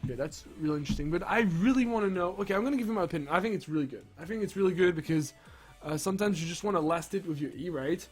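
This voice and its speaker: A young man with a sick, strained voice, rambling excitedly through game patch notes with lots of restarts. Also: high voice, rapid-fire, breathy edge, soft background music. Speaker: gaming streamer. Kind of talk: casual patch-notes commentary.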